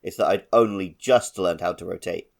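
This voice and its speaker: Gravelly, low-voiced man chatting casually. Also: normal speed, over a noisy crowd. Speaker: podcast co-host. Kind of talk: casual video game discussion.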